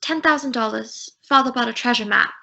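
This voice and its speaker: An earnest, distressed young woman client, played by a teenage girl. The voice is high and bright and comes through a muffled lo-fi recording. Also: brisk, vocal fry, exaggerated voice. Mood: pleading. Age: teens. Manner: earnest and urgent. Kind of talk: student radio drama. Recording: dull lo-fi recording.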